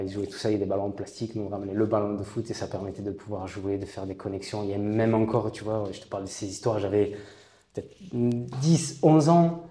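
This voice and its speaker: A man's voice: on the low side, warm tone, echoey room. Reflective man in his late thirties. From southern France. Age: late 30s. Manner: thoughtful, hesitant with many fillers and restarts. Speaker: interview guest. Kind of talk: reflective personal storytelling.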